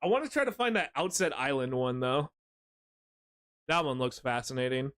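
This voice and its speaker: A man's voice: medium pitch, very animated, moderate pace, fairly bright tone, smooth, dry recording, studio quality. A man streaming a game, chatting casually with his chat, rambling and joking with lots of swearing. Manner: rambling, profane, jokey storytelling.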